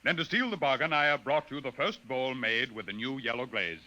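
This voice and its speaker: Man voicing a solemn alien chief, piercingly clear. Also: medium pitch, sharp tone, lo-fi sound, close to an everyday voice. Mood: solemn, proud. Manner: formal, measured, ceremonious. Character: solemn, proud alien chief of a potters' tribe, male. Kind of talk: 1950s radio drama acting.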